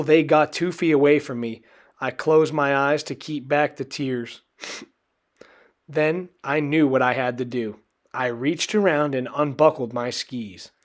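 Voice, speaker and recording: A man reading a children's storybook aloud in an expressive, dramatic way. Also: mid-range voice. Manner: expressive, dramatic delivery with acted character voices. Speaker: storybook reader.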